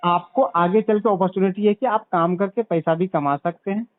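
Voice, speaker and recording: A man giving a didactic sales pitch as a consultant over the phone, repeating points and checking back with questions. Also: fairly even intonation, moderate pace. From Bihar, India. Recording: narrow, tinny phone call recording.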